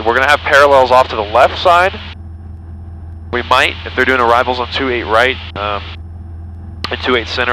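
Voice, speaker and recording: Male pilot, quick and bright, casual technical talk, thinking aloud on a slightly noisy cockpit intercom. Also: medium pitch. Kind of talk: casual cockpit chat, working through the approach. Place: small aircraft cockpit in flight. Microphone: aviation headset.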